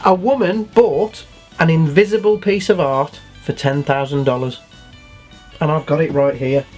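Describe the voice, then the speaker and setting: Higher-voiced man chatting, matter-of-fact. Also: fairly even intonation, unhurried, darkish tone, soft background music. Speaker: show co-host. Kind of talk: casual opinionated discussion.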